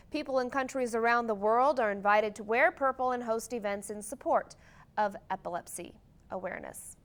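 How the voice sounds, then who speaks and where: A woman's voice: on the high side, clear, resonant tone. An American woman anchoring a morning newscast, reading scripted news in a TV studio.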